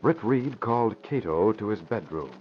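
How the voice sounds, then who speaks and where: Deep guy narrating old radio drama, scripted, muffled and a bit hissy. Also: lively intonation, normal speed. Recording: dull, noisy old radio recording.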